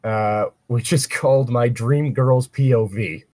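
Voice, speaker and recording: A man's voice: medium pitch, measured pace, a little mellow, no echo. A man chatting casually and reading aloud as co-host, breaking off for sardonic, sweary asides. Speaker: co-host of a fan fiction reading show. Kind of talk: casual banter and read-aloud with commentary.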